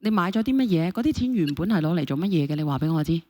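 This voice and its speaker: A woman hosts a call-in show. Her voice sits a bit low and she talks quickly, pressing with question after question as she mediates. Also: animated, dark tone. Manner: questioning, persistent. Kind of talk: mediating a caller dispute, casual talk.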